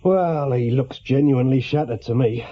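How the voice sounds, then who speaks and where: A man's voice: medium pitch, moderate pace, lightly acted. Man as a mild English sergeant, slightly muffled. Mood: measured. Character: easygoing, fair-minded police sergeant. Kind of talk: British radio drama acting.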